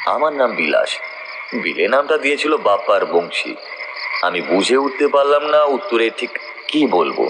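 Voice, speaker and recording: A man's voice: mid-range voice, very animated, normal speed. A man narrating a scripted first-person ghost story as the storyteller. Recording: noisy nature ambience behind voice.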